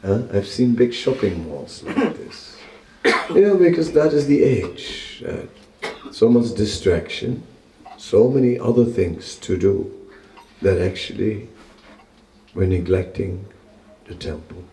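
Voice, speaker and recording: A man's voice: on the low side, lively intonation, slow, pauses often, mellow, a little hiss or hum. Older man teaching in an echoey temple room. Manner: conversational and wry, chuckles at his own jokes. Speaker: monk lecturer. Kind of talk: relaxed scripture class. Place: small temple room with seated listeners. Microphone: stand mic close in front. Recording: roomy echo of a small temple room.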